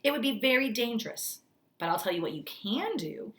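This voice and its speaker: A male doctor in a storybook, read by a woman. He is practical and cautioning, with a firm, measured manner and an expressive, varied pitch.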